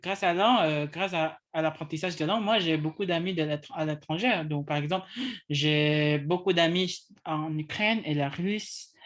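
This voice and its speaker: A man's voice: a little high, fairly bright tone. A man whose first languages are English and Indonesian, co-hosting a casual discussion and often restarting his phrases. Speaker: co-host.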